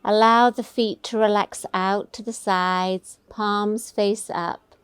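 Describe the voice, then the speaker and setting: A woman teaching a guided meditation, giving gentle, soothing, unhurried instructions. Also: medium pitch, fairly even intonation, smooth, no room sound.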